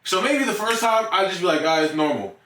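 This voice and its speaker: A man's voice: sits high, fairly quick, a loud crowd. A young man telling stories in a casual podcast chat, acting out what people say and repeating his words. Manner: animated storyteller, acts out quotes, repeats words. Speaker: podcast co-host. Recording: roomy, distant mic, faint noise.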